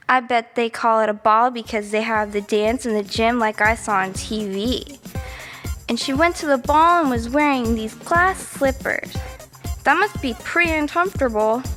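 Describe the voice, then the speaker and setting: A woman's voice: high voice, fairly bright tone. A woman playing a chatty, easily sidetracked little girl who rambles through a bedtime story with naive asides and self-corrections. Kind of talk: children's storybook monologue. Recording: long reverberant room echo.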